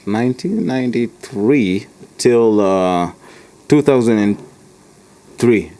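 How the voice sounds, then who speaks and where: A man in his thirties, raised in Kenya and the US, speaking with an American accent as a guest in a relaxed, rambling interview chat. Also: fairly low pitch, takes it slowly. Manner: laid-back, loose and rambling with frequent restarts and laughs. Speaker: rapper guest.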